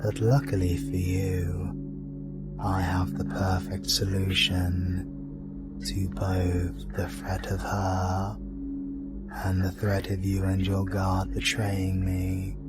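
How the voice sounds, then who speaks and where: An actor playing a cold, scheming dark deity in a fantasy audio drama, condescending and menacing, with drawn-out deliberate delivery. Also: male voice, low-pitched, completely flat delivery, loud music behind the voice. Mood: scheming, triumphant.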